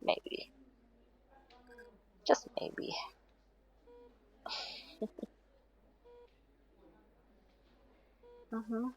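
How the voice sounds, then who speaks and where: A woman playing a tired, stubborn but playful patient in an intimate audio roleplay, murmuring sleepily and haltingly, often close to a whisper. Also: a little mellow, muffled recording. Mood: playful pleading. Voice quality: breathy, often dropping to a whisper.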